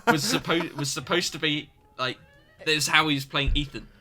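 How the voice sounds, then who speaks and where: A man's voice: fairly high pitch, steady tone, moderate pace, sharp tone, faint music behind. A man in casual commentary chat, joking and teasing with laughter. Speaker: actor and co-host of commentary.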